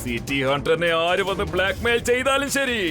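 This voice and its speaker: A man's voice: high-pitched, big pitch swings, a little fast. A man voicing a boastful, menacing male cartoon villain in speech, theatrical and gloating. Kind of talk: dubbed children's cartoon acting. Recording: music behind the voice.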